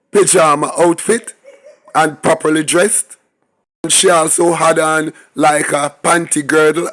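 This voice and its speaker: A man hosting his own channel, hoarse and raspy from the flu, going over a crime story in a halting, self-correcting way. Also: on the high side. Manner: slow, halting delivery with restarts and fillers. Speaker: channel host. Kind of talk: crime news commentary.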